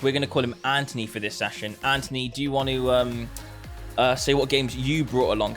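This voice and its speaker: Male podcast host, mid-range pitch, chatty and repetitive, casual gaming talk with faint music underneath.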